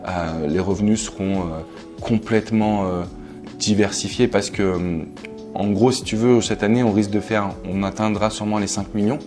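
A man in his thirties from France, a love coach talking business as a guest, relaxed and sure of himself, often filling pauses with "euh" and "tu vois". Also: lowish voice, music in the background. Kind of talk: casual interview chat. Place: bright living room, indoors, on a sofa.

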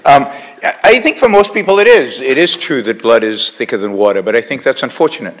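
A man's voice: medium pitch, animated, a little fast, a little hiss or hum. A man speaking as a panelist, firmly voicing his disagreement. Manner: firm, openly disagreeing. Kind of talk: panel debate.

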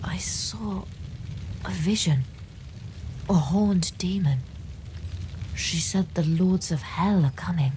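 A man playing a weary, haunted wanderer in a dark fantasy game, speaking in a serious, halting, hushed way. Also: high voice, slight background noise. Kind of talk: dramatic video game cutscene acting.

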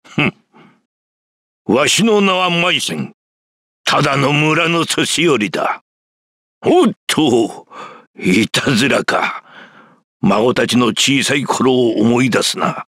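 An older man playing a humble, resolute old warrior in a video game, with a gravelly, forceful rasp. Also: medium pitch, lively intonation, normal speed, long pauses, dry recording, clean studio sound. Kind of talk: anime-style video game voice acting.

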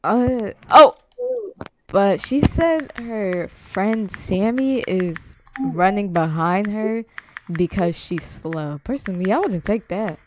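A woman's voice: medium pitch, takes it slowly, a little hiss or hum, lightly acted. A woman playing a sassy, bossy young woman who runs her household in an improvised game roleplay, repeating her commands.

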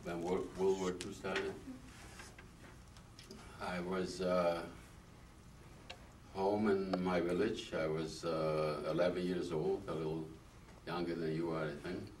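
A man in his eighties from Czechoslovakia, speaking slowly with many pauses in a recorded oral history interview in a classroom. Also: deep voice, little pitch movement, a little mellow. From Carpathian Ruthenia, then Czechoslovakia. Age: older; 80s. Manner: slow and halting, many "uh" fillers. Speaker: interviewee, Holocaust survivor. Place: small classroom, indoors. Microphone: far camera. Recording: roomy echo, distant mic, faint hiss.

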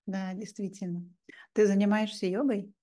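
A woman in her thirties hosting a slow, conversational interview for language learners on a video call. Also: mid-range voice, level tone, warm tone. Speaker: host, interviewer. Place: indoor room at home, video call. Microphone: desk microphone, video call.

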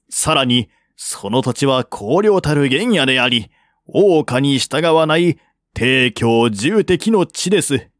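A man's voice: high voice, dry recording, studio quality, voice pushed up. A man voicing a respectful, reasoning envoy who reports formally to his prince in an audiobook. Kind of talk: audiobook character reading.

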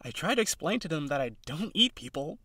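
A man acting as an eager, awkward, gentle male harpy in an audio roleplay, speaking straight to the listener with hesitant, dramatic delivery. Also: a little high, very animated, fairly quick, no echo, exaggerated voice. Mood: apologetic, rambling. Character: eager, nervous, awkward young male harpy courting a human.

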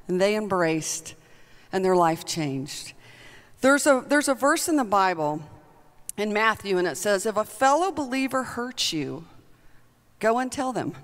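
A middle-aged woman, telling a children's sermon story and praying in a gentle, folksy way into a handheld mic. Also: mid-range voice. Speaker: children's minister. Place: large church sanctuary. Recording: church hall reverb.

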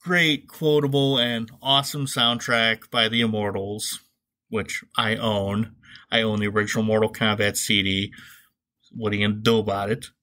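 A man's voice: on the low side, little pitch movement, normal speed, pushed and bright. A middle-aged man hosting a casual, comedic movie review podcast in an opinionated, wry, informal way. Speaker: podcast host.

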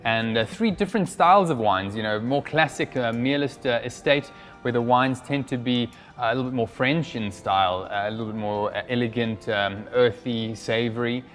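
A middle-aged man, speaking as a wine specialist in a measured way with frequent hesitant fillers, standing in a decorated indoor room. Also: medium pitch, fairly bright tone, faint music behind. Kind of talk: conversational presentation.

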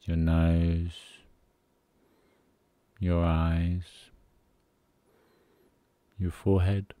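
A man leading a guided sleep meditation in a soothing, unhurried manner, pausing between short phrases. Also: low-pitched, flat delivery, dark tone, no room sound.

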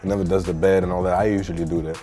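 A man's voice: low-pitched, little pitch movement, rapid-fire, dark tone, faint music behind. A young man in his twenties from Tunisia, raised in London and Paris, teasing his partner and laughing often during a casual couple's quiz game in a studio. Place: indoor pink-walled studio, seated.

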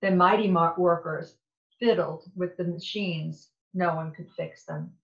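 A woman reading a children's picture book aloud in an expressive, playful and deliberate way. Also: lowish voice. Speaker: storybook reader. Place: indoor room at home. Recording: echoey room, dull lo-fi sound.

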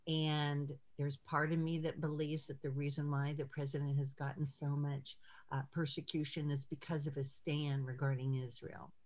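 Low-pitched older woman, halting and encouraging. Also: flat delivery, darkish tone. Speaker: ministry leader hosting a call. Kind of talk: informal prayer-call talk. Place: home room on a video call. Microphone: video call computer mic.

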